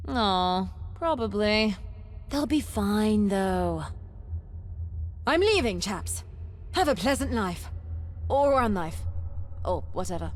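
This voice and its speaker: A woman playing an eccentric, excitable, boastful young treasure hunter in a video game, chatty and theatrical with dramatic emphasis. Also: medium pitch, slow pace, pauses often. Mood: breezy. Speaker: game companion character.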